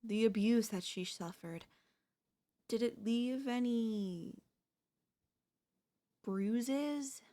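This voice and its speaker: A woman playing a devoted, possessive wife in an ASMR audio roleplay, speaking haltingly with deliberate dramatic pauses. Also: on the high side, warm tone. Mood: puzzled, suspicious. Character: devoted wife, shocked then eerily composed, quietly possessive.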